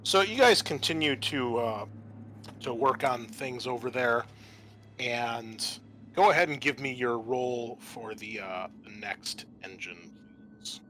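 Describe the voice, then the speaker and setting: A man working as game master, improvising narration for a tabletop roleplaying game in an informal, conversational, halting way. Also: mid-range voice, a bit bright, slight background noise. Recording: music behind the voice. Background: music.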